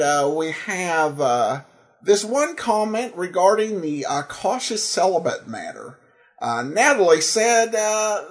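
A man hosting a podcast, giving commentary in an earnest way with emphatic, uneven stress. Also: high voice. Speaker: podcast host. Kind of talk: podcast commentary and sponsor read.

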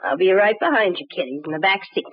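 A woman's voice: mid-range voice, lively intonation, quick pace, breathy edge. An actress playing a brassy, sardonic jilted showgirl, slangy and defiant, in an old-time radio crime drama. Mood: accusing, sarcastic. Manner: wisecracking, slangy, cynical. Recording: muffled, phone-like vintage radio recording.

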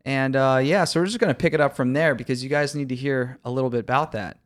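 A man's voice: medium pitch, quick pace. A man hosting a podcast and interviewing a guest in a chatty, easygoing way. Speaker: podcast host. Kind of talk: casual chat.

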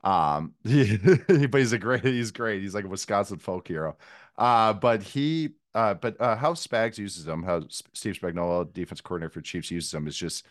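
A man's voice: on the low side, lively intonation, moderate pace, dry recording, studio quality. A man appearing as a guest football analyst on a podcast, joking and rambling with many fillers. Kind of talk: casual sports podcast chat.

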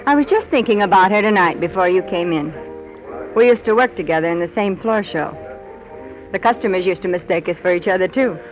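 A woman's voice: fairly low pitch, animated, a little fast, lo-fi sound, lightly acted. An actress playing a worldly young nightclub showgirl, coy and guarded, in 1940s radio noir style. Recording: band-limited 1940s radio with music.

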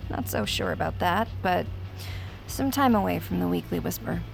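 An actress playing a curious, wry young punk-band musician in a naturalistic audio drama, with casual, hesitant delivery. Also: female voice, lowish voice, lively intonation, measured pace, gravelly, background noise, full character voice. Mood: wistful, wry.

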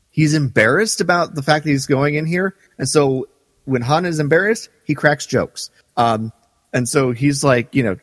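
Male podcast co-host: conversational, halting explainer, mid-range voice, some long reverb. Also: medium pitch. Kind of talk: casual discussion of a radio drama.

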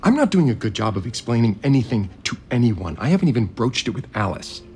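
A man's voice: mid-range voice, sing-song, fast talker, a little mellow, a little hiss or hum, lightly acted. An actor playing a grieving, overwhelmed resort heir in an audio drama, hesitant and apologetic, placating whoever he talks to. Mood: strained, mournful. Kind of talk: naturalistic audio drama acting.

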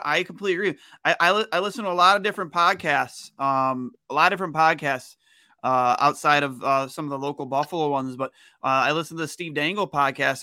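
Very sharp, forceful higher-pitched man. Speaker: podcast co-host. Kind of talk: casual opinionated sports chat.

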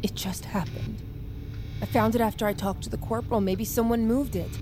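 A defiant young female army recruit played by an actress with a fairly high voice. She is blunt, profane and sarcastic, in a naturalistic audio drama with music behind her. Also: fairly even intonation, brisk, natural voice. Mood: defensive, sarcastic. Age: young adult.

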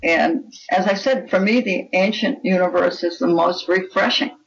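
An older woman speaking as a writer in an interview, opinionated and deliberate in her conversational delivery. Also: lowish voice, vocal fry, dull audio.

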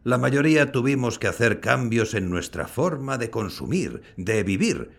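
A man acting a kindly, modest old grandfather and former scientist in an audio drama, explaining things in a measured way. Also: mid-range voice, big pitch swings, creaky. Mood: warm, reflective. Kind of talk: scripted audio fiction acting.

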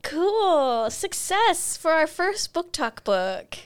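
A woman guest on a podcast, chatting casually about books in an expressive, giggly way. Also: very high-pitched, slow pace, no echo. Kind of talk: casual book chat.

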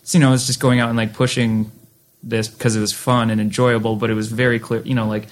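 A man's voice: medium pitch, fast. A man in his thirties, a record label co-founder, chatting loosely in an interview with lots of fillers. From Western Massachusetts, US. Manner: relaxed, rambling, many "like" fillers. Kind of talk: casual interview chat.

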